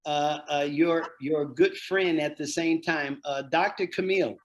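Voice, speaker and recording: A man's voice: on the high side, steady tone, measured pace, slight background noise. A man hosting an online company call, introducing guests in an upbeat, repetitive way. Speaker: host.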